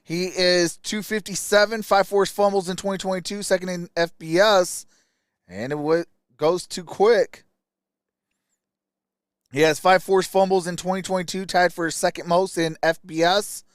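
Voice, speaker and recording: A man's voice: high-pitched, steady tone, moderate pace, clear, resonant tone, no room sound, clean studio sound. A man hosting a live stream, giving casual, colloquial sports commentary like a die-hard fan.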